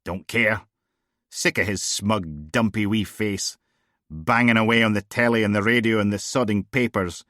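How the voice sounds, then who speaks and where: A man voicing a brash, crude middle-aged woman who runs a police team, loud and mocking. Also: fairly low pitch, moderate pace, dry recording, clean studio sound, close to an everyday voice. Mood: ranting.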